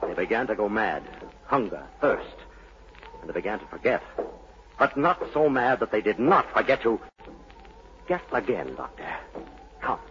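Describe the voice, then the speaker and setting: A haunted, brooding captain's son in a 1940s radio play. His low voice swings dramatically and insinuatingly. The broadcast sound is muffled, with a faint hiss behind it. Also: very animated, moderate pace, long pauses.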